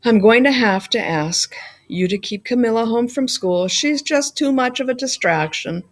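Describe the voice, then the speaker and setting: A woman voicing an apologetic but firm male school principal in a storybook, formal and measured. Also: a little high, animated.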